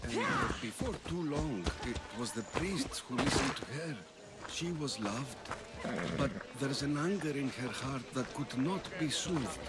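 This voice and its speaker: An actor playing a feeble, devout old temple priest in a video game, halting and evasive, telling stories with reverence. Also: male voice, medium pitch, big pitch swings, heavy background noise. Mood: hesitant, confiding. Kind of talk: video game character dialogue. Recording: loud background music.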